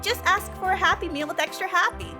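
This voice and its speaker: A woman playing a chirpy fast-food commercial announcer with exaggerated salesy cheer. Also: extremely high pitch. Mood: gushing cheer. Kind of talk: peppy advertising voice-over. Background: music bed.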